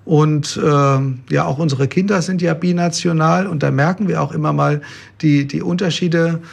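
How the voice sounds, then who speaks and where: A man in his fifties, a pastor, telling his story in an interview with relaxed hesitations. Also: a little high, moderate pace, creaky. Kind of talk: interview conversation.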